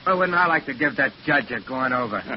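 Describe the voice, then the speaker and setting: A man's voice: medium pitch, expressive, a little fast, close to an everyday voice. An actor playing a hot-headed, dim gangster henchman in a 1940s radio drama, whining and eager. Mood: aggrieved. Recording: muffled hissy 1940s radio recording.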